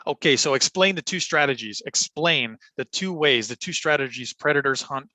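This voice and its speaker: Man, exam speaking coach, encouraging, bright tone, dry close sound. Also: mid-range voice, no room sound. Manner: upbeat and encouraging, clear deliberate delivery. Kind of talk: livestream practice session, thinking aloud and modeling exam answers.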